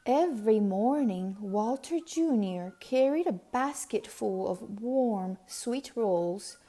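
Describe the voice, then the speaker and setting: Very slow, mellow woman storyteller. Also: medium pitch, expressive. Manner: deliberate, measured phrasing. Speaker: read-aloud storyteller. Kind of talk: children's picture book narration.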